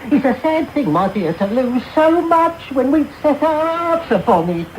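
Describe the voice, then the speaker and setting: Old male villain: sly, greedy, wheedling gang boss, very animated pitch, noisy old broadcast. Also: unhurried, full character voice. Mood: greedy, anxious. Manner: wheedling, oily, coaxing. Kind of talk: radio drama acting. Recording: very noisy medium-wave radio broadcast off tape.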